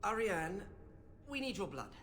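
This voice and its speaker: A man's voice: sits high, sing-song, measured pace, pushed and bright, slight background noise, heavily acted. An actor playing a fussy, bookish young male mage in a video game, earnest and hesitant as he over-explains. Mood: hesitant, explaining. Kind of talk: video game character acting.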